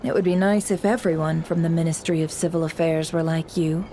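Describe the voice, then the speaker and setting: A woman playing a sly, smooth-talking businesswoman in a video game, coy, polite and measured. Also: sits low, steady tone, mellow, slight background noise. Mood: coy, teasing.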